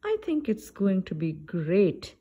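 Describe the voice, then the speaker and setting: A woman's voice: mid-range voice, sing-song, takes it slowly, slightly rough, lo-fi sound, exaggerated voice. A woman voicing a friendly, easygoing, hug-loving bear in a children's storybook. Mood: cheerful.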